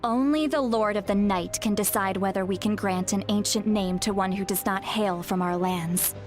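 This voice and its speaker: A woman playing a self-assured female oracle in a video game, speaking in a measured, formal, authoritative manner. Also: medium pitch, soft background music, close to an everyday voice. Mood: matter-of-fact. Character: self-assured, solemn female oracle shaman, briskly dismissive. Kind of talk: video game character acting.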